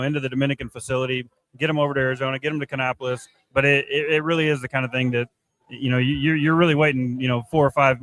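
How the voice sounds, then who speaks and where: A man answering interview questions off the cuff, in halting, repetitive phrases as a sports staff director. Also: mid-range voice, fairly bright tone, low-quality recording. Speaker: baseball player development director, former player.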